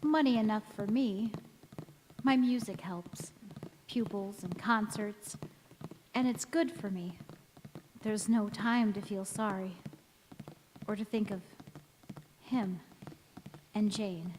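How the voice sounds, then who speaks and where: A woman's voice: medium pitch, slow, long pauses, darkish tone, natural voice. An actress playing a wistful, ageless woman returning in secret, in an earnest old-time radio drama style. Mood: yearning. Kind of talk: 1940s radio drama acting on stage. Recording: echoey hall with faint noise.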